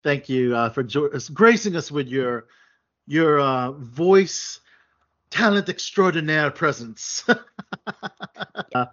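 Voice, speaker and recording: A man's voice: on the high side, animated, slow, long pauses, a bit bright. Rambling man hosting a chat show. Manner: rambling, many fillers and restarts. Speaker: podcast host. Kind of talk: casual chat. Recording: dull lo-fi sound.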